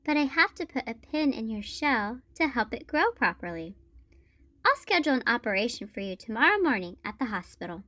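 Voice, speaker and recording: Woman playing a lilting, high-voiced lady bear doctor. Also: very animated, fairly quick, bright, forceful tone, dry recording. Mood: reassuring. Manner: reassuring, explanatory. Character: kindly, reassuring female bear doctor.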